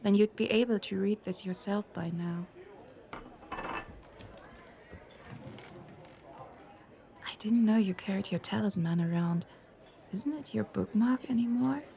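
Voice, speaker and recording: A woman playing calm, frank Rim, creaky voice. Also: medium pitch, normal speed, lightly acted. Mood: teasing.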